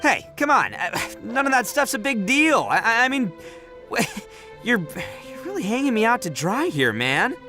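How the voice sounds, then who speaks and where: A man's voice: very high voice, very animated, measured pace, sharp tone, faint music behind, exaggerated voice. A man voicing a brash, good-hearted young oni gang leader in a video game, boisterous, theatrical and slangy. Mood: flustered.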